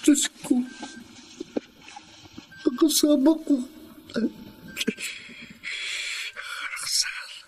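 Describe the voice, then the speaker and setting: An actor playing a gentle, sickly Filipino father, halting and emotional, in a radio drama. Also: very high voice, fairly even intonation, a little hiss or hum, low-quality recording. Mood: grieving, pleading. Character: sickly married father, grief-stricken yet grateful, gentle. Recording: background music under the voice.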